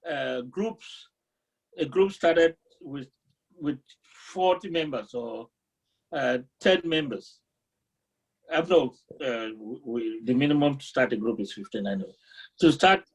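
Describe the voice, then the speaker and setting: A man's voice: a little high, low-quality recording. A man from Cameroon, an insurance representative, earnestly explaining a group policy at a meeting.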